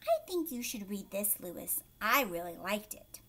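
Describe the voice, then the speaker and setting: Storybook schoolboy played by a woman: innocent, kindly, reassuring, lilting and unhurried. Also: medium pitch, very animated, pushed and bright, exaggerated voice. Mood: earnest. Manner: friendly, earnest, reassuring. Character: helpful, innocent, good-natured schoolboy.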